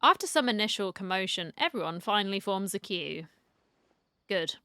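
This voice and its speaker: A woman's voice: mid-range voice, lively intonation, moderate pace, sharp tone, clear, resonant tone, dry recording. A woman streamer giving casual, playful, teasing commentary as she plays through a game. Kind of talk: casual reaction commentary, reading dialogue aloud.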